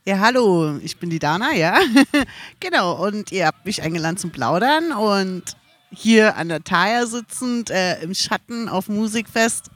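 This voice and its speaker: A woman's voice: mid-range voice, animated, a little slow. A woman in her forties from Berlin with a Berlin German accent, chatting as a podcast guest outdoors by a river and laughing often between hesitant fillers. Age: 40s. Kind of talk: casual outdoor chat. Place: outdoors in shade by a river at a music festival.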